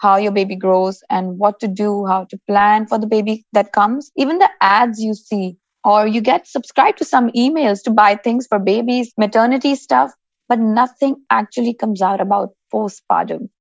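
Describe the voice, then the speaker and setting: A woman's voice: a little high, gravelly, dry recording. Candid woman in her thirties, podcast guest, earnest and plain-spoken, muffled dry sound. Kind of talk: candid personal storytelling.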